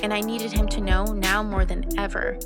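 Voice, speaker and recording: An actress playing a reflective teenage girl sleuth, narrating her own story in an audio drama in a measured, confiding way. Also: mid-range voice, level tone, lightly acted. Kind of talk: first-person scripted drama narration. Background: loud music bed.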